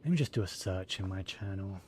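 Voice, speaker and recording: A man's voice: deep voice, lively intonation, brisk, background noise. A man giving casual live gameplay commentary, muttering and thinking aloud as he goes. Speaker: game streamer. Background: faint game music.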